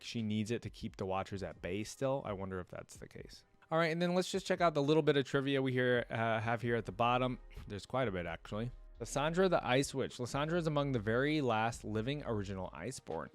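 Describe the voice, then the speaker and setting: A man reacting casually to game lore as a video creator, reading passages aloud and adding musing, think-aloud asides. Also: medium pitch.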